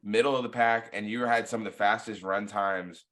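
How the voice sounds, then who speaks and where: A laid-back man hosts a podcast in a relaxed, chatty way. His voice sits low but sounds bright and forceful. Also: moderate pace. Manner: laid-back, lots of "like" and "you know" fillers. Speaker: podcast host. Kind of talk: casual chat.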